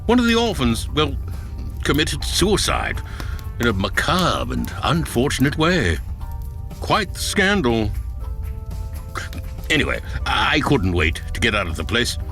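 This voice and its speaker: An actor playing a proud, genteel scientist-inventor in a radio drama, with cultured, wry, formal phrasing. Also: male voice, medium pitch, wildly swooping pitch, measured pace, pauses often, bright, forceful tone, soft background music, full character voice. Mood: confiding. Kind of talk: cinematic radio drama acting.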